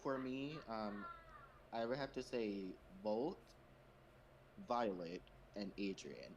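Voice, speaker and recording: A man's voice: fairly low pitch, slow, dull audio. A man hosting a casual group question-and-answer chat on a voice call. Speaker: host of a fan comic dub Q&A stream. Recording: noisy home microphone.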